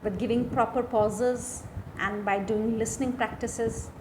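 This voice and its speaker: A woman with an Indian accent teaching a lesson from slides in a deliberate way, repeating key phrases. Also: on the high side, fairly even intonation, darkish tone, slight background noise, low-quality recording. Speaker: teacher, lecturer. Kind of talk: instructional lesson explaining slides.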